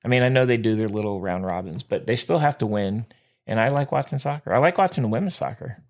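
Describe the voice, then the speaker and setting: A man's voice: medium pitch, quick pace. A man co-hosting a podcast in casual chat, rambling and trailing off, laughing often. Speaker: podcast co-host.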